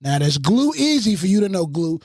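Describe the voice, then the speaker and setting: A man from the Bronx and Fayetteville, a radio host and producer, telling animated stories on a podcast and swearing freely. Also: high-pitched, a little fast, husky, dry recording, studio quality. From Bronx, New York and Fayetteville, North Carolina. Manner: animated storyteller with a lot of swearing. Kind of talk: casual podcast storytelling.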